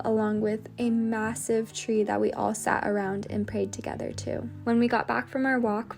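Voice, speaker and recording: A woman in her twenties narrating her trip in a soft, even, heartfelt voice-over. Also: fairly high pitch. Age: 20s. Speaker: vlogger and trip host. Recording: soft music behind.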